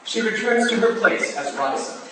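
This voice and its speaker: A man's voice: sits high, animated, moderate pace, recorded from a distance, crowd noise. A man reading out stage directions as scripted narration at a staged play reading, in an echoey, noisy hall.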